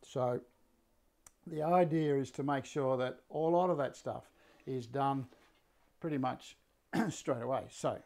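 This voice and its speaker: A man in his sixties with a slightly croaky voice, casually explaining a woodworking build to a live audience from his workshop, full of fillers and restarts. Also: mid-range voice, measured pace, long pauses. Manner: relaxed, rambling, many fillers and restarts. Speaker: woodworking teacher and live-stream host. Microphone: fixed camera, mid-distance framing.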